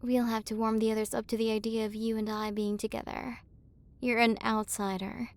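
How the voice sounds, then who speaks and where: A woman's voice: fairly high pitch, little pitch movement, normal speed, lightly acted. A woman playing a proud, flustered tsundere warrior queen with a secretly tender side, in an intimate ASMR roleplay. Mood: tender, softened. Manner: haughty but flustered, softening into intimate murmurs. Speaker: voice actor. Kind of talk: ASMR romantic roleplay acting.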